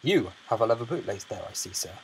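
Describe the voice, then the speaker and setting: A man's voice: fairly low pitch, animated, quick pace, a little hiss or hum, natural voice, rough recording. A man playing stern, practical railway workers in a children's storybook, brusque and matter-of-fact. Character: stern practical railwaymen, guard and engine driver. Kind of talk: children's audio storybook character acting.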